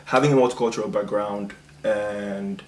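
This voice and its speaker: A man's voice: lowish voice, fairly even intonation, normal speed, a little mellow. A young man from Cameroon chatting casually and explaining his views in an informal way, as the host of a video discussion. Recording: echoey room with some background noise.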